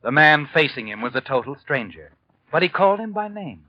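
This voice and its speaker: A man's voice: on the high side, very animated, a little fast, noisy background. An actor playing an ominous, all-knowing male narrator in a sinister, dramatic 1940s radio suspense style. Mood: tense, hushed. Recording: dull 1940s radio recording, music behind.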